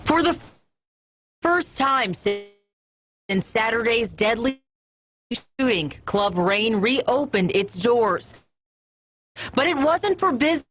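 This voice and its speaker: An American woman delivering a scripted television news report as a field reporter. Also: mid-range voice.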